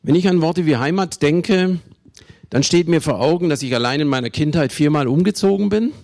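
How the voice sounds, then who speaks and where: A man from Germany preaching a sermon, with emphatic delivery, deliberate pauses and conversational asides to his listeners. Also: a little high, a little mellow. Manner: emphatic, with dramatic slow phrasing and pauses. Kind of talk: sermon to a congregation.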